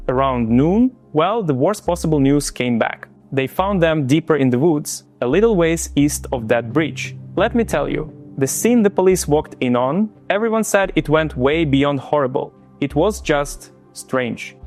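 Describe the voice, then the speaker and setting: A man from Ukraine with a Ukrainian accent, hosting and narrating a true crime story in a chatty way that speaks straight to viewers. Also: mid-range voice. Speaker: true crime channel host.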